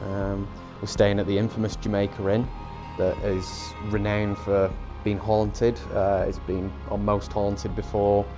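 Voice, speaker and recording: Male podcast co-host, deep and congested, rambling casual chat. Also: flat delivery, a little slow, few pauses, over music. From England. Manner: rambling, chatty, many ums. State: nasal from a head cold.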